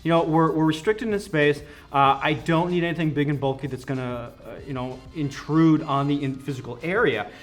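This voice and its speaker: A man's voice: fairly high pitch, a little fast, hardly any pauses. A man working as a company product representative, explaining a product enthusiastically in a conversational interview. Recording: music playing behind the voice.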